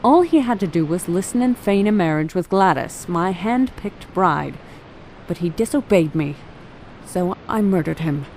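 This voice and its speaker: A woman's voice: lowish voice, animated, moderate pace, darkish tone, a little hiss or hum, poor audio. A woman voicing a cold, scheming middle-aged mother who is a murderer, in an amateur radio drama, speaking with haughty disdain.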